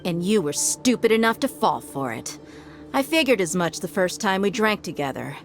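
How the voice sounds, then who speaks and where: A woman's voice: mid-range voice, big pitch swings, normal speed, faint music behind. A woman voicing a confident female desert mercenary in a game cutscene, cocky and teasing. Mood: smug. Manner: cocky, teasing, laid-back. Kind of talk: video game cutscene dialogue.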